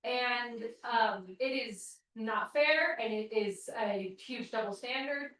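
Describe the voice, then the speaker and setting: A woman with a fairly high, loud voice makes her point emphatically in a group discussion. She is picked up from a distance in a very echoey room. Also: takes it slowly. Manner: emphatic, loud, self-described obnoxious. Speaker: group participant.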